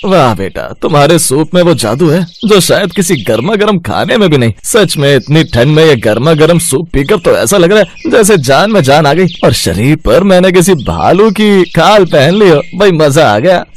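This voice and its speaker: Friendly cartoon customer, played by a man: fairly high, very animated pitch, hearty and effusive. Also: normal speed, few pauses. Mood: delighted. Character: friendly, effusive male customer, delighted by food.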